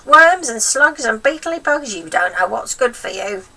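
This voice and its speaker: Woman voicing a sinister, creaky old grandmother. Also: fairly high pitch, very animated, sharp tone, far from the mic, slight background noise, voice pushed up, full character voice. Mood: gloating relish. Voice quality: throaty, breathy whisper at times. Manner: drawn-out, menacing, relishing nasty words.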